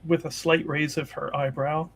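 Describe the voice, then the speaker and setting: A man improvising third-person narration as a tabletop roleplaying player, casual and halting, often correcting himself. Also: fairly high pitch, level tone, creaky, a bit bright.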